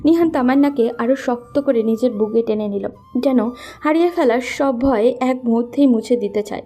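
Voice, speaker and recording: Casting: female storyteller for romance audio, high but mellow voice, gentle music bed. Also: normal speed. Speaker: narrator. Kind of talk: scripted romantic story narration.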